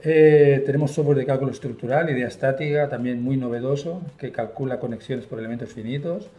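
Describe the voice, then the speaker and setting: A man's voice: mid-range voice, fairly quick, dark tone. A man with a Spain Spanish accent, a guest being interviewed, talking fluently and enthusiastically with frequent fillers, in an office. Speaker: guest, software company partner. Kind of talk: conversational interview. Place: office room. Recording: roomy echo.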